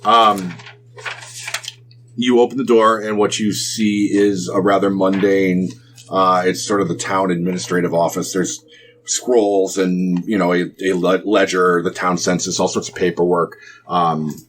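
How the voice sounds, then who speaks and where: A man narrating a tabletop role-playing game as game master, explaining scenes in a halting, explanatory way. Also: low-pitched, little pitch movement, fairly bright tone, slight background noise.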